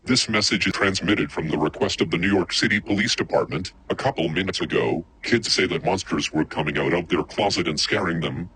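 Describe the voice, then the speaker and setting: A man's voice: low-pitched, brisk, hardly any pauses, sharp tone, slight background noise, rough recording. A man reading scripted emergency alerts as an announcer.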